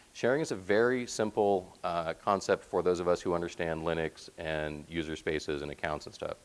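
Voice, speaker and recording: A man with a deep, resonant voice presenting technical slides at a conference, explaining things in a plain, informal way. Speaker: conference presenter, software platform team member. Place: presentation room, speaking to slides.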